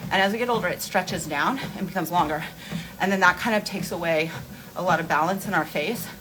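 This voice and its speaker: A woman's voice: lowish voice, brisk, a bit bright, slight background noise, poor audio. A forty-year-old woman hosting a casual live stream, chatting candidly and informally to her followers with emphatic repetition. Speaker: host of a beauty and wellness live stream.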